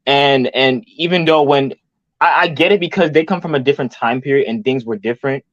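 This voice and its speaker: A young man in his twenties talks fast over a dull, lo-fi call connection, mediating between two others. He stumbles and restarts as he goes, and the audio is dry. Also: medium pitch, dry recording. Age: 20s. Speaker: panelist. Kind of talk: online panel discussion.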